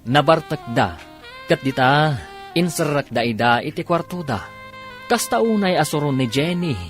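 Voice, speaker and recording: Man, Ilocano Filipino accent, radio drama narrator, animated pitch, music underneath. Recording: music playing behind the voice. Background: background music.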